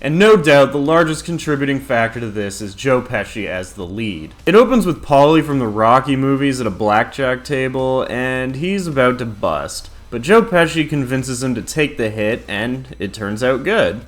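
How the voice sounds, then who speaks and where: A man's voice: medium pitch, animated, moderate pace, hardly any pauses. A man hosting a countdown, giving casual, opinionated scripted narration with crude, profane humor. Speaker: host of an entertainment countdown video.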